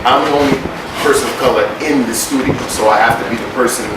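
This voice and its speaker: A man with a deep voice, a guest artist speaking earnestly at a screening Q&A. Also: steady tone, quick pace. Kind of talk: casual Q&A talk. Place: screening room. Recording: echoey hall from far off with loud background noise.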